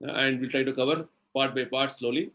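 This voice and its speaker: A man with an Indian English accent explaining spiritual ideas in a devotional lecture, slow and explanatory, using everyday examples and many "you know"s. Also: mid-range voice, fairly even intonation, fairly bright tone. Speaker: spiritual speaker answering questions.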